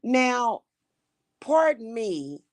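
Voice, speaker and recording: A woman's voice: fairly high pitch, very animated, extremely slow, no echo, clean studio sound. A woman from Missouri with a Missouri accent, talking to her viewers in a blunt, scornful, mocking monologue. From Kansas City, Missouri. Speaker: spiritual practitioner and channel host.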